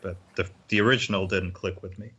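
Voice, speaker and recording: A man's voice: low voice, steady tone, fast, bright, forceful tone, slightly rough, highs cut off. A man chatting casually as a panellist on a gaming podcast. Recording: noisy voice track with clicks.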